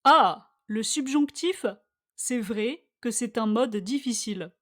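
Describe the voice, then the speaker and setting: A woman with a high voice reads scripted lines for a language lesson. She goes very slowly, phrasing each line with care so that learners can repeat it. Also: lively intonation, a bit bright. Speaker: language teacher. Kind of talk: scripted dialogue read slowly for listen-and-repeat practice.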